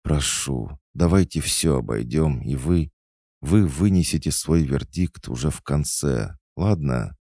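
Man doing a timid, high-pitched young woman. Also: animated, measured pace, dark tone, breathy edge, no room sound, studio quality, exaggerated voice. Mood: pleading. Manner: hesitant, pleading. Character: timid, flustered young woman park manager.

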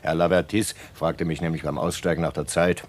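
An actor in a German radio play playing a talkative bus conductor who is pedantic about punctuality. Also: male voice, deep voice, little pitch movement, a little fast. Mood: eager, self-assured. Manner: precise, eager, talkative.

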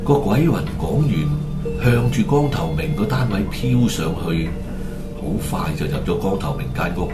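A man's voice: deep voice, sing-song, a little slow. A man with a Hong Kong Cantonese accent narrating a scripted ghost story for a radio drama. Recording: echoey, loud music underneath.

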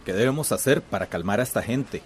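An actor playing a domineering, impatient company boss in a radio drama. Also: male voice, fairly low pitch, animated, quick pace, close to an everyday voice. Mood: angry, impatient.

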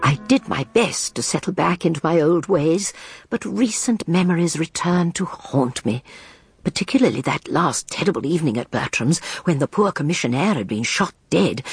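An actress playing a gentle, thoughtful elderly English spinster sleuth, narrating a radio drama in a measured, slightly husky voice. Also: female voice, low-pitched, dry recording, exaggerated voice. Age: older. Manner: measured, reflective storytelling.

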